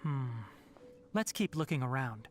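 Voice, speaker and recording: Game role: calm, analytical young man played by an actor, measured delivery with varied intonation, faint music behind. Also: mid-range voice, lightly acted. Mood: pensive. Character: calm, thoughtful young male investigator. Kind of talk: video game dialogue.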